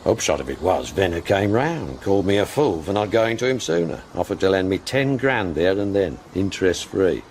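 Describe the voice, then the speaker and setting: Very deep-voiced, rueful older club owner. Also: male voice, lively intonation, moderate pace, few pauses, a little mellow, a little hiss or hum. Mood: wry, rueful. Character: world-weary club owner, proud, rueful older man. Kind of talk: naturalistic radio drama acting.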